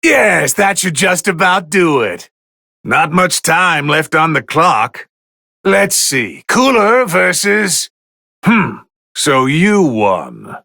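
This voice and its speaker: Snooty bored male god calling game fights, slow condescending drawl, really swoopy delivery, super dry close recording. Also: fairly high pitch, big pitch swings, gravelly, sharp tone, no room sound, exaggerated voice. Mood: curious, announcing. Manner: condescending, drawling, theatrically refined. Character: haughty, easily bored male god of destruction. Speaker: fight commentator. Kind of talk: English video game voice lines, match commentary.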